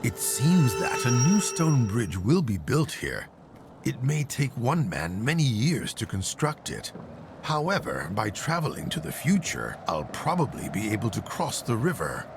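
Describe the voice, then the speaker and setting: A man voicing a pensive sage sorcerer who muses deliberately aloud as he investigates a mystery in a video game. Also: medium pitch, huge pitch swings, background noise. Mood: musing. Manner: musing, deliberate.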